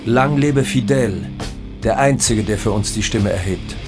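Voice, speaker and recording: An actor playing a proud, defiant Colombian drug baron in a radio drama monologue, declamatory and self-assured. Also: male voice, on the low side, lively intonation, a little slow, over music.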